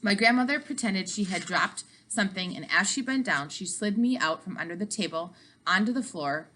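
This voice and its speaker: A woman narrating a children's book aloud with animated, dramatic emphasis. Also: medium pitch, normal speed. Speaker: read-aloud storyteller.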